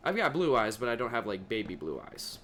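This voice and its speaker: A young man chatting casually over his gameplay, his voice deeper than usual and talking to himself as he plays. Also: big pitch swings, fairly quick, a bit bright, a little hiss or hum. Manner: chatty, talks to himself while playing. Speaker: gaming video creator.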